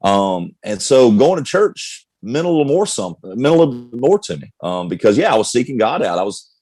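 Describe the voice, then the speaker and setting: A man's voice: medium pitch, big pitch swings, brisk, a little mellow, dry recording. A middle-aged man, a pastor, telling his life story candidly in conversation, with frequent restarts. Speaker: pastor, guest. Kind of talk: personal testimony in conversation.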